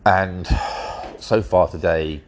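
A man hosting his own podcast, congested and stuffy-nosed from hay fever, talking in a wry, measured way and a bit slowly. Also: sits low, fairly even intonation, a little hiss or hum. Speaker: podcast host. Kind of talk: solo podcast commentary.

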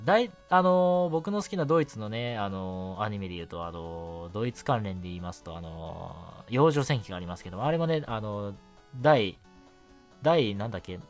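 Animated male radio host. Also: lowish voice. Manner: filler-heavy, stumbling over words, self-correcting. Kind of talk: solo radio show segment, reading listener mail and chatting. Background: background music.

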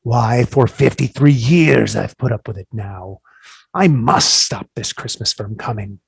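Man putting on a sneering storybook creature, gravelly, raspy, slow and scheming. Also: medium pitch, no echo, full character voice. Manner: sneering, snarling. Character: grumpy scheming green male creature. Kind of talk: storybook reading.

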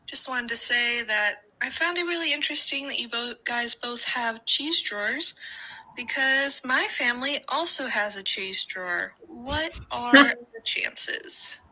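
A young woman calls in with a recorded voice message. She speaks slowly in a dry, deadpan way, and her voice is bright and sharp. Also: a little high, poor audio. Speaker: caller leaving a voice message. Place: abroad, recorded on her own device.

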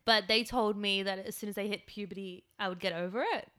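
A young woman hosting a podcast, talking loudly and chattily with a nasal voice from a cold, laughing often. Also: medium pitch, steady tone, a little fast. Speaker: podcast host. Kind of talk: casual chat.

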